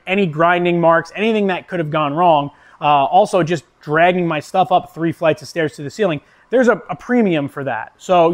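Brief: male welder, 30s, practical vlog narration, matter-of-fact, a touch high-pitched. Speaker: welder and shop owner. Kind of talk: explanatory how-to narration. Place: metal workshop, indoors.

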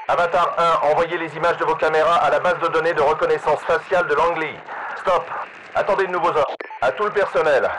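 Stern, high-pitched military radio controller. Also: male voice. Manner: clipped, authoritative military delivery. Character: commanding male mercenary operations controller, brisk and stern. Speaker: radio dispatcher. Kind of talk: scripted military radio chatter. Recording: noisy game radio chatter.

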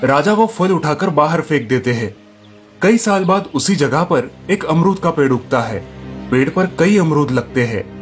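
Guy narrating a kids story, voice a bit high, light music behind. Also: lively intonation, a little slow.

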